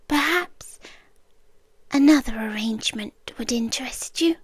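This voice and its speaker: A British woman playing a polite, obsessive yandere intruder in an ASMR roleplay, soft-spoken and often slipping into whispers, coolly teasing. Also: high voice, breathy edge, no echo, studio quality, heavily acted. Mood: coaxing, measured. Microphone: close microphone, dry.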